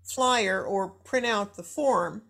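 A woman with a medium-pitched voice talks casually in a group conversation. She is a bit hesitant, pausing to find her words. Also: gravelly, fairly bright tone.

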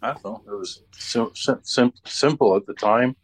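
A man in casual chat, rambling and thinking aloud in a halting way, repeating words as he goes. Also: mid-range voice, slightly rough, poor audio.

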